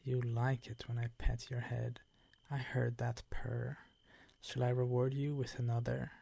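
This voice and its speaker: Older vampire count played by a male actor for ASMR roleplay: suave, possessive, unhurried, condescending, with breathy menace and a close, dry sound. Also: medium pitch, completely flat delivery, mellow, no echo. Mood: coaxing, possessive. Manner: slow, ornate, condescending seductive purr.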